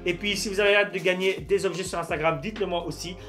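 A young man with a high-pitched voice presents an unboxing vlog. He talks in a casual, slangy way, like someone showing things to friends. Faint music plays under his voice. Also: fairly even intonation, fast, bright, forceful tone. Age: young adult. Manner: informal, slangy. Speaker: YouTube host unboxing souvenirs. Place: small dim room at home, indoors.

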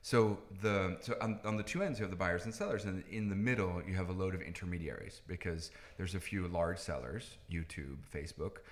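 Very deep-voiced Dutch-born man. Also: brisk, hardly any pauses. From Netherlands, moved to the US at nine. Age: 30s. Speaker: podcast guest, tech founder. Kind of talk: conversational interview answers, explaining.

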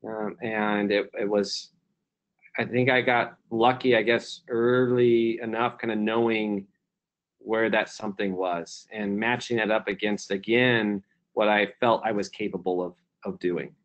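A man's voice: fairly low pitch, steady tone, a little slow, muffled recording. A man, a company chief executive, answering questions on a conference call in a reflective way, with many fillers and restarts. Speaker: company CEO, keynote guest.

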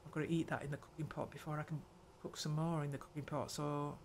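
An older woman hosting a gameplay video, muttering her thoughts aloud in an unhurried way. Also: sits low, flat delivery, darkish tone, a little hiss or hum. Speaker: gaming channel host. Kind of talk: let's play commentary.

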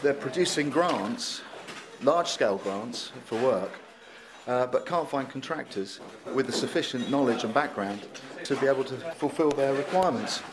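Builder guy chatting plainly, bit halting, crowd in the background. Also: mid-range voice, expressive. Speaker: builder student. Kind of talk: casual interview.

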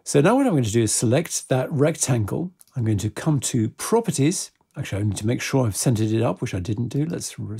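A man presenting a software tutorial step by step, in a methodical, informal way. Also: medium pitch, gravelly, breathy edge.

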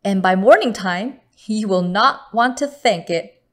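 A woman storyteller reading a picture book aloud to children in a deliberate, expressive manner. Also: medium pitch.

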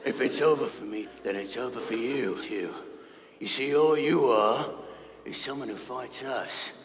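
A man playing a gruff, villainous impostor posing as a veteran professor in a comedy staged reading, blustering and menacing with mock friendliness. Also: medium pitch, measured pace, crowd noise, lightly acted. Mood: bitter menace. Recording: noisy echoey hall with long reverb.

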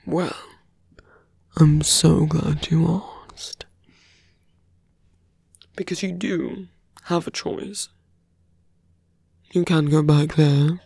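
Man playing a slow, gravelly, breathy seductive villain. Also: on the high side, steady tone, darkish tone, dry recording, clean studio sound, exaggerated voice. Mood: soft, persuasive. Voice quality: breathy, often whispering. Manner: slow, deliberate, with long pauses. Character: seductive male fantasy villain, soft-spoken, coaxing, menacing. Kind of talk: ASMR roleplay voice acting.

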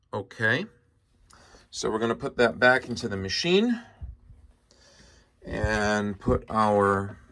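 A man's voice: fairly low pitch, gravelly, sharp tone. A man giving a casual hands-on demonstration at an indoor workbench, explaining methodically one step at a time. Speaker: presenter of a hands-on tutorial. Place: indoor workshop bench.

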